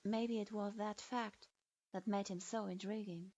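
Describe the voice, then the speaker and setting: Slow, calm woman storyteller. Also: medium pitch, dry recording. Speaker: story reader. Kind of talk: reading fan fiction aloud. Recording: dull lo-fi.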